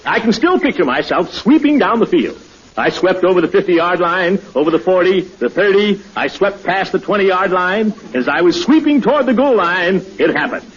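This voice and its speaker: Pompous, high-pitched, blustering neighbour. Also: male voice, very animated, normal speed, husky, full character voice. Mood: boastful. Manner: pompous, boastful. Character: pompous, blustering male neighbour, boastful and indignant. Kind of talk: 1940s radio comedy acting. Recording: dull vintage 1940s radio.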